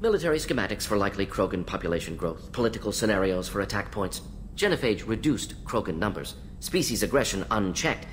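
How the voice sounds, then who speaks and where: A restless male alien scientist who talks in clipped, clipped sentence fragments, skipping articles as if there's no time to waste. The voice sits low but swings widely in pitch, lively and jumpy. A faint hiss of noise sits behind it in this game dialogue.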